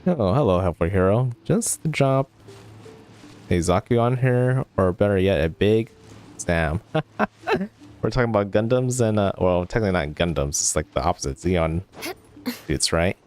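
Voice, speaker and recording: A man's voice: on the low side, very animated, a little slow, a little mellow. A man livestreaming a video game, giving casual, rambling commentary as he thinks aloud. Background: game music.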